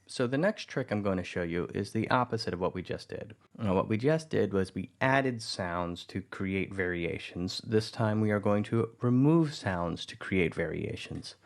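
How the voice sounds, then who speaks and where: Man, low voice, relaxed, conversational tutorial narration. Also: normal speed, creaky. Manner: relaxed, conversational, thinking aloud. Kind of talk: explaining music editing.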